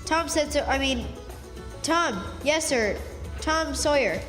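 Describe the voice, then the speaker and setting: A woman's voice: high-pitched, lively intonation, takes it slowly, a bit bright, faint music behind, full character voice. A woman playing an earnest, scheming runaway country boy in a radio play, with folksy speech. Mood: cautious, flustered. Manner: folksy, eager. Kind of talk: stage radio drama acting. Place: live stage in a hall. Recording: reverberant hall recording.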